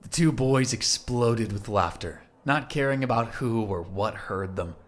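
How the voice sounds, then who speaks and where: A man's voice: mid-range voice, lively intonation, measured pace. A man narrating an audio drama from a script.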